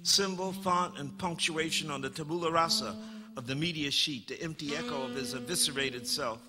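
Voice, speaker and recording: A man performing dramatic spoken-word poetry, emphatic and incantatory, with drawn-out words and repeated phrases. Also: a little high. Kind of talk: dramatic political poetry performance over saxophone. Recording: long hall reverb, saxophone playing along. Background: live saxophone music.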